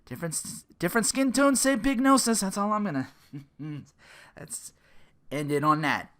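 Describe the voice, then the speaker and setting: Podcast host, thirties, comedic ranting, sarcastic, profane, sharp bright tone, very lively intonation. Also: mid-range voice, normal speed. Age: 30s. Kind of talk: casual comedic rant.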